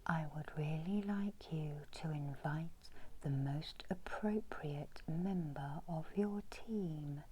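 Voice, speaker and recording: Very slow whispering woman, ASMR meditation. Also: sits low, mellow, a little hiss or hum, low-quality recording. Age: middle-aged. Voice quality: breathy whisper. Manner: slow, soothing, with long pauses. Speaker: reiki healer leading a guided meditation. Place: small room indoors.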